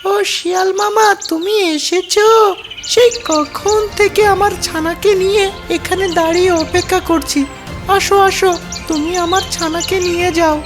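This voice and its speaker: A performer voicing a clever little mother bird in a children's cartoon, polite, wheedling and persuasive, over loud music. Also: extremely high pitch, steady tone, unhurried, voice pushed up. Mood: falsely welcoming. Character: clever little mother tailorbird, sweetly coaxing. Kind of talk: children's cartoon story character acting.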